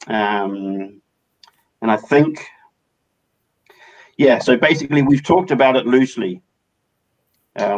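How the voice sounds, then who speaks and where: A man's voice: medium pitch, lo-fi sound. A man on a video call who speaks hesitantly, restarting his sentences. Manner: hesitant, many fillers and restarts. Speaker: community organizer. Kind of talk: casual video call discussion.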